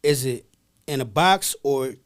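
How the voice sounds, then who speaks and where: A man's voice: mid-range voice, a little slow. An American man asking questions as an interviewer in an informal, slangy, conversational way. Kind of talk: casual interview chat.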